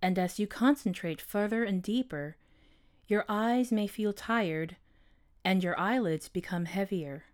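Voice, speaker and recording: A man voicing a gentle, wise female owl hypnotist in a storybook, with a soft, smooth, calm and soothing delivery. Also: high voice, unhurried, voice pushed up. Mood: soothing, hypnotic. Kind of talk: storybook character voice.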